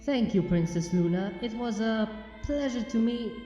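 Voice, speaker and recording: A man voicing a polite, hesitant young pony filly in a storybook, in halting, simple phrases. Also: high voice, lively intonation, dark tone. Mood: apologetic, polite. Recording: muffled, echoey long reverb under music.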